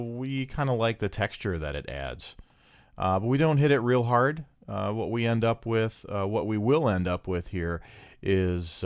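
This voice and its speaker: A man's voice: fairly low pitch, a little mellow, no echo, clean studio sound. A man casually explaining an audio production technique in a tutorial style.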